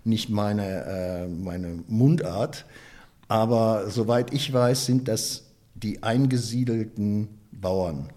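A man from the Ruhr area, giving a reflective interview and often pausing with hesitations and restarts. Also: on the low side, mellow. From Ruhr area, Germany. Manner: thoughtful, many hesitations and restarts. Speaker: interviewee, photographer and author.

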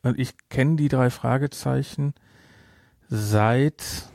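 A man's voice: medium pitch, slow, gravelly, a little mellow, dry recording, clean studio sound. A middle-aged man chatting casually as a podcast host, rambling and repeating words for emphasis.

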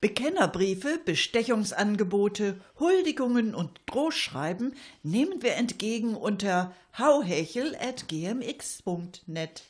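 Lively woman presenting satirical radio. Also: mid-range voice, a little slow, husky. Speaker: radio host.

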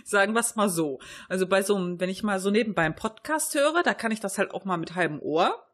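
A woman chats casually as a podcast co-host. Her medium-pitched voice has a husky, slightly rough edge. She speaks informally and pokes fun at her own rambling. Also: expressive, fairly quick, fairly bright tone. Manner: giggly, informal, self-deprecating. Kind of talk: casual chat.

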